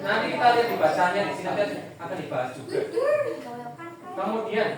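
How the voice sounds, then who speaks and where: A woman's voice: medium pitch, lively intonation, quick pace, crowd noise. A woman with a Tegal Javanese accent leads schoolchildren through an interactive read-aloud story, prompting them with questions in a teacherly way. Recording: echoey noisy hall, distant microphone.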